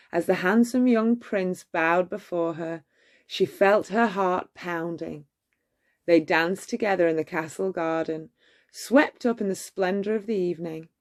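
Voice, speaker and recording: A woman narrating a children's bedtime story in a measured, expressive storytelling manner. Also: lowish voice. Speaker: storybook reader.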